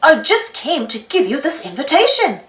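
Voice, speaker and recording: A woman's voice: high voice, big pitch swings, normal speed, fairly bright tone. A woman voicing an eager, polite young assistant to a mad scientist in a children's storybook, cheerfully exclaiming. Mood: eager, friendly. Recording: roomy echo with music behind.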